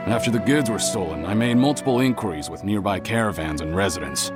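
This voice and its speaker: A man's voice: fairly low pitch, fast, lightly acted. A man playing a sober, earnest caravan investigator in a video game, speaking matter-of-factly. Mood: grave, informative. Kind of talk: video game character dialogue. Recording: game music under the voice.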